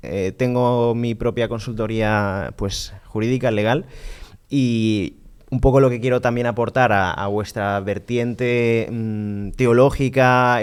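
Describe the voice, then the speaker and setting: A man's voice: medium pitch, clean tone. A man hosting a podcast, a lawyer who leads the talk in a friendly, explaining way. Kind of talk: casual podcast chat.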